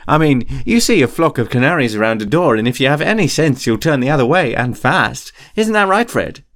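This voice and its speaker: A cheeky schoolboy prankster, performed by a man reading a story, pitched well up. He is glib and quick-witted, with a cheerful, easygoing salesman's patter. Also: lively intonation, fairly bright tone. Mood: breezy, conversational.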